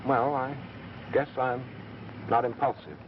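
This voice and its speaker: A man acting a mild, earnest, quietly smitten scientist, a little hesitant, in an old 1930s film. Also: medium pitch, fairly even intonation, measured pace, natural voice. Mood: shy, earnest. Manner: matter-of-fact, hesitant, mild. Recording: dull, noisy vintage film soundtrack.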